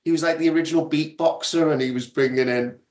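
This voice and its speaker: A man's voice: fairly high pitch, steady tone, quick pace, a little mellow. Man, echoey and distant from mic. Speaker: podcast guest. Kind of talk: casual chat.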